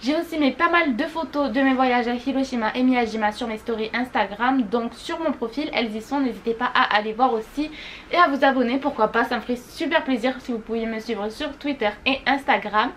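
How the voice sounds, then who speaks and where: A woman's voice: on the high side, fairly quick, a bit bright. A young woman vlogging a casual monthly recap, gushing and repeating words for emphasis. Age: young adult. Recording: faint background noise.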